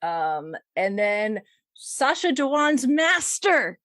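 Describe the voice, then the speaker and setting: A woman's voice: a little high, sing-song, slow pace, a bit bright, no room sound. A woman hosting a podcast, reading out fandom news in a casual, wry and playful way with chuckles. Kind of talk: casual chat.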